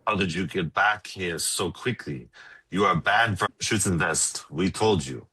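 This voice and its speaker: A man's voice: sits low, a little slow, sharp tone, slightly rough, full character voice. A man playing an officious, rule-bound Austrian club guard in a comedy script read, speaking stiffly and formally. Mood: stern.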